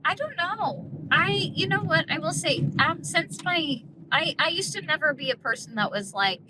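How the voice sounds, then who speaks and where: A woman chatting as a guest in a car, playful and quick to riff. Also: sits high, animated. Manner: playful, goofs with voices. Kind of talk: casual chat. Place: inside a car. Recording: noisy car interior.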